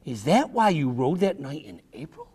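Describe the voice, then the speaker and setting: A man's voice: on the high side, very animated, moderate pace, darkish tone, slightly rough. A man voicing an eager, curious young boy who questions and interrupts in a storybook reading.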